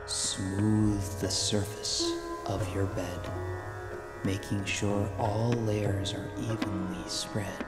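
A man narrating a scripted guided sleep meditation in a soothing, deliberate way, with an echoey sound. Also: lowish voice, little pitch movement. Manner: slow, soothing, deliberate delivery. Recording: echoey voice under loud music.

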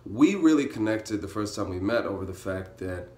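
A man with a low-pitched voice hosts a casual interview. His talk is informal and teasing. The recording has a noticeable living-room echo. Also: lively intonation, a little fast, mellow. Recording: echoey room.

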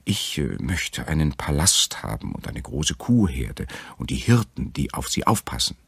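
A simple, lovestruck fairy-tale bear, played by a man, gruff and gravelly. He is obliging and a little hesitant, with a husky edge. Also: extremely low pitch, very animated, moderate pace, dry recording, exaggerated voice.

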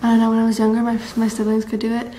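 A teenage girl from Massachusetts chatting to her webcam in a bright room, rambling and bubbly with lots of fillers. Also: on the high side, completely flat delivery, rapid-fire. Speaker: vlogger. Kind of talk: casual chat to camera. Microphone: built-in camera mic, close webcam framing. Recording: roomy echo, faint background hiss.

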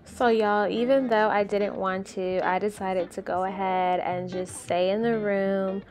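A young woman talking casually to her camera, a little nasal from a cold and sprinkling "like" through every sentence. Her voice sits at a middle pitch, recorded up close from arm's length. Clear music plays underneath. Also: steady tone, unhurried. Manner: chatty, many "like" fillers. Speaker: vlogger. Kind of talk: casual travel vlog. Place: hotel room and shop, indoors. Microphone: handheld camera at arm's length.

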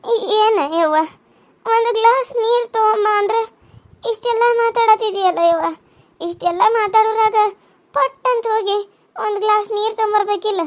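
Woman playing a frail old mother-in-law. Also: very high-pitched. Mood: reproachful. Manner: pleading, sighing, drawn-out complaints.

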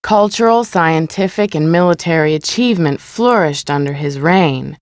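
A composed female exhibit narrator in a video game. Her voice is very low and dry. She reads educational text in a measured, formal way. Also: expressive, dry recording.

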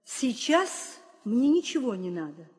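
A woman's voice: a little high, sing-song, unhurried, a little mellow. An actress playing a deferential, composed younger queen with a formal, restrained manner in a theatrical audio play. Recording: reverberant 1960 audio play record.

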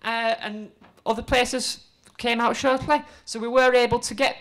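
Woman, slightly high voice, middle-aged, informal presenter, conversational and halting delivery, handheld mic. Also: steady tone, fairly bright tone. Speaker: conference speaker, museum exhibit developer. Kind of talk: informal short conference presentation. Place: indoor presentation room before a seated audience. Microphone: handheld microphone.

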